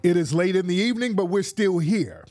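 A congested man hosts a live late-night show, chatting loosely and repeating himself. His voice sits a bit high. Faint music runs underneath. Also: brisk, a little mellow. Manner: hyped, casual, repeats himself. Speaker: host.

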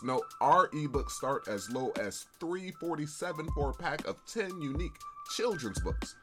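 A woman reading a radio advertisement in an upbeat, salesy way. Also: low-pitched. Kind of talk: radio ad read.

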